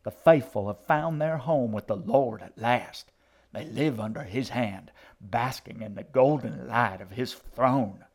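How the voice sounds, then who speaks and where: A fierce old woman zealot, as a male narrator plays her, declaiming with righteous fury in a storybook reading. The voice is loud and grating, thick with hatred and holy certainty. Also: medium pitch, measured pace. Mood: fervent anger. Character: fanatical hate-filled old woman preacher, secretly a demon. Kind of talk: audiobook character acting.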